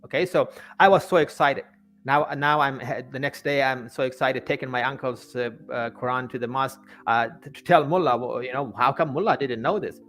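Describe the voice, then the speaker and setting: A man's voice: medium pitch, a bit bright, faint music behind. A man from Afghanistan, a native Persian speaker, telling his story as an interview guest in an earnest way, often repeating words as he searches for the next. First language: Persian (Farsi). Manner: halting, stammering repetitions of words.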